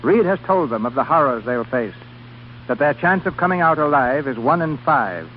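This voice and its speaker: A man's voice: medium pitch, lively intonation, moderate pace. Formal, dramatic vintage radio host, muffled man. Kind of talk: 1930s radio scripted narration. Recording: dull, noisy vintage radio broadcast.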